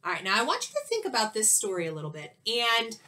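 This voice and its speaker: A woman's voice: fairly high pitch, wildly swooping pitch, quick pace, sharp tone. A woman in her thirties, a teacher, reading a picture book aloud expressively and explaining it to children. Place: small indoor room at home.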